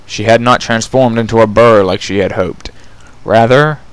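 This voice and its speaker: Clear-voiced man narrating a fantasy story. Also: lowish voice, normal speed, dry recording, poor audio. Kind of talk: dramatic fan fiction reading, scripted narration.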